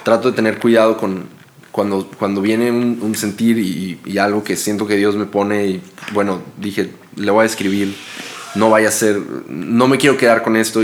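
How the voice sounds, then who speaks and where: Earnest low-voiced man, halting speech. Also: slight background noise. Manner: earnest, halting, searching for words. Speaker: podcast host. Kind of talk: casual chat.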